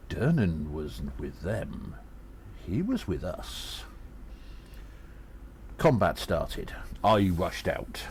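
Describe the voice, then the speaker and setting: A man playing a small, agile adventurer who recounts battles in an audio drama, earnest and dramatic, with halting pauses. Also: deep voice, darkish tone, slight background noise, exaggerated voice. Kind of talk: first-person audio drama narration.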